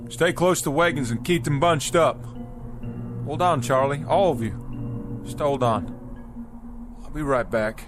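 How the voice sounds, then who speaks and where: Halting, weary frontier pioneer played by a man, music underneath. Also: medium pitch, lightly acted. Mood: grave, steady. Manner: halting, repeats words, folksy old-West phrasing. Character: weary, determined male wagon-train pioneer leader, desperate and bewildered. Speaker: actor. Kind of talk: vintage television drama acting. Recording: vintage TV soundtrack with music underneath.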